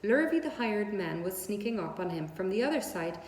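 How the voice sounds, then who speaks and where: A woman's voice: medium pitch, big pitch swings, fairly quick, darkish tone. A woman reading a children's story aloud as narrator. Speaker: story reader. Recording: echoey room with long reverb.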